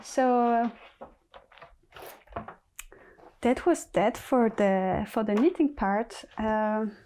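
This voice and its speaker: A woman with a Polish accent, a native Polish speaker, hosting a knitting podcast in casual chat, thinking aloud and halting with many pauses. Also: on the high side, mellow, a little hiss or hum. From Poland.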